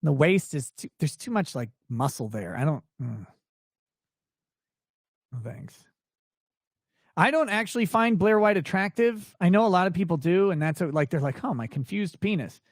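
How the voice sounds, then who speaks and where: A man's voice: normal speed, long pauses, dry recording, studio quality. A man hosting a casual late-night livestream, reading out and answering viewer questions in a blunt, crude, sweary way. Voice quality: voice not deep. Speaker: livestream host.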